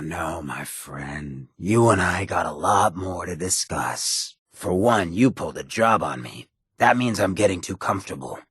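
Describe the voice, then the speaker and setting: A man voicing a swaggering, roguish mayor in a video game, a wry hedonist outlaw with a raspy, gravelly, drawling and sardonic delivery. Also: low voice, fairly bright tone, dry recording, studio quality, exaggerated voice. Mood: confident, roguish. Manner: drawling, sardonic, streetwise slang. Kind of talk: video game character dialogue.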